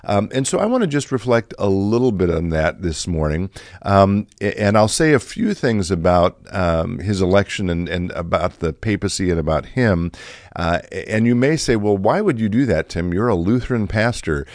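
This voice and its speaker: A man in middle age with a low, slightly raspy voice talks to his listeners like a pastor in a podcast, circling back with "um" and restarts. The recording is dry and close, with no room around him. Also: animated, normal speed, no echo. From Chicago area, USA. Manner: conversational, many fillers and restarts. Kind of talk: reflective podcast talk.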